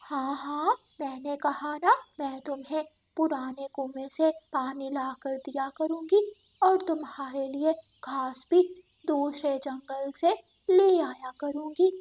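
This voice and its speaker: A woman voicing a cunning female fox who coaxes with false friendliness in an animated fable. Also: high-pitched, takes it slowly, voice pushed up. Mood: coaxing.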